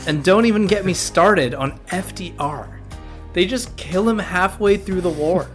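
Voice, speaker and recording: A man's voice: high-pitched, a little slow, faint music behind. A man doing a dramatic comic reading, ranting with exaggerated indignation. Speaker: comedy reader.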